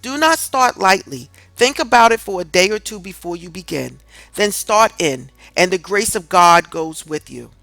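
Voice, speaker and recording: A woman's voice: medium pitch, lively intonation, moderate pace, fairly bright tone, dry recording, poor audio. Woman reading a self-help audiobook, dry sound. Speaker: audiobook reader. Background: music in the background.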